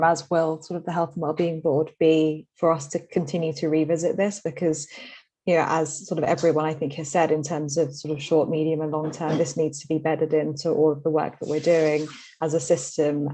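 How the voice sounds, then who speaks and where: A woman's voice: low voice, warm tone, poor audio. A woman chairing an online council meeting over a video call, speaking fluently and hedging often. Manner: fluent, frequent hedging with "sort of".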